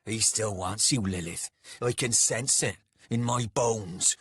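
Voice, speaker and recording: A man's voice: lowish voice, takes it slowly, fairly bright tone, husky, no echo, full character voice. A man voicing a big, hot-tempered, jealous soldier in an audiobook, with a coarse, sneering manner and bellowed delivery. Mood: jealous, bitter. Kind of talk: dramatised audiobook dialogue.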